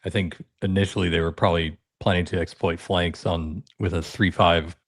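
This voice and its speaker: A man with a deep radio voice, a podcast guest chatting easily about his soccer club. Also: flat delivery, moderate pace, no room sound, clean studio sound. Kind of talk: casual podcast chat.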